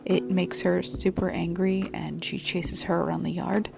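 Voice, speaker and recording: Soft-spoken woman with a scratchy throat. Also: on the low side, level tone, moderate pace, vocal fry. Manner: soft, half-whispered delivery. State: scratchy, congested-sounding throat. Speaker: journaling vlogger. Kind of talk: soft-spoken voice-over narration. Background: lo-fi music.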